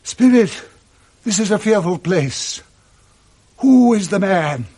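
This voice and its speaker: An old British miser at the point of repentance in a radio drama. The voice is raised high and swings dramatically. The delivery is theatrical and impassioned. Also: male voice, expressive, takes it slowly, dark tone, slightly rough, full character voice. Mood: fearful.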